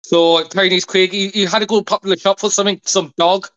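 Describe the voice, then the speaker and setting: A man giving deadpan, silly answers in a comedy quiz. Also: high voice, brisk, clean tone, no echo, lo-fi sound. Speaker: quiz contestant. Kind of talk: absurd comedy banter.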